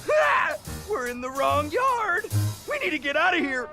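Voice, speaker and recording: Brash wisecracking kid hustler for a cartoon game; high and gravelly, bossy, slow-punched delivery. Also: big pitch swings, exaggerated voice. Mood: impatient. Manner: sarcastic, wisecracking. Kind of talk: exaggerated cartoon game acting. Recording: loud music behind the voice.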